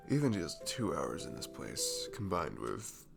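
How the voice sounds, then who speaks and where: A man playing a cute, comforting barista in a soft ASMR roleplay, talking in a gentle, rambling, hesitant way. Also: a bass voice, big pitch swings, measured pace, over music, heavily acted. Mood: wistful. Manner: gentle, rambling, hesitant, breathy chuckles and sighs. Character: cute, sweet, comforting young male barista chatting.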